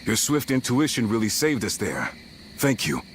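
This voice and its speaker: A man playing a steady, determined warrior of ancient China in a video game, measured and composed. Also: mid-range voice, slight background noise, natural voice. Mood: calm. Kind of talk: video game dub acting.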